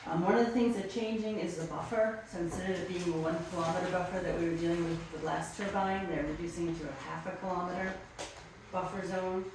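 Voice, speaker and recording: A soft-spoken woman at a town planning meeting, speaking hesitantly with many ums. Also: on the low side, steady tone, quick pace. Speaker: planning commission member. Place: echoey town office meeting room. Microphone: distant room mic. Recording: echoey room from far off, background noise.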